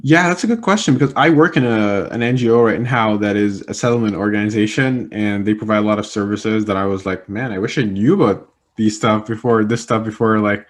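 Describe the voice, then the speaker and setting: A man's voice: on the low side, brisk, hardly any pauses. A young man from Egypt whose first language is Arabic, answering interview questions casually with lots of fillers and restarts. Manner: rambling, many fillers and restarts. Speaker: interviewee, communication specialist.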